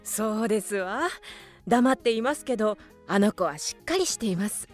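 A woman's voice: medium pitch, expressive, normal speed, soft background music, close to an everyday voice. An actress playing a polite, worried mother of a genteel household in a radio drama. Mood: admiring.

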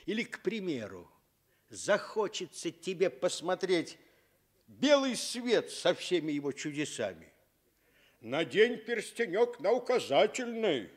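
An actor playing a kindly, teasing old soldier in a radio fairy tale, coaxing with deliberate phrasing. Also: male voice, high voice, big pitch swings, husky, heavily acted.

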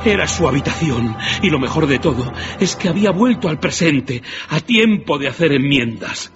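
A man's voice: a little high, expressive, normal speed. A man narrating a radio play as a dramatic scripted storyteller. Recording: dull band-limited audio, music underneath.

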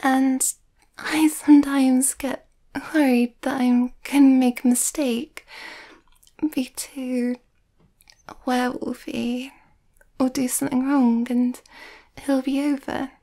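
A woman acts out a shy, overthinking young werewolf girlfriend in an ASMR roleplay. Her voice is high, mellow and breathy, often close to a whisper. She speaks slowly and hesitantly, sweet and bashful. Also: level tone. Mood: anxious, hesitant.

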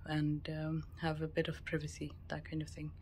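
Low, flat-toned woman giving a casual tour. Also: normal speed. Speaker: travel vlogger. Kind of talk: casual cabin tour narration. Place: mostly a small cruise ship cabin. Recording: clear background ambient noise.

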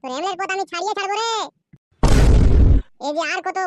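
A high-voiced performer playing furious scorned wives in a Bengali comedy cartoon, ranting and scolding in a shrill, pitched-up childlike voice. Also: fast talker, very noisy. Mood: scolding, threatening. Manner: scolding, foul-mouthed, ranting.

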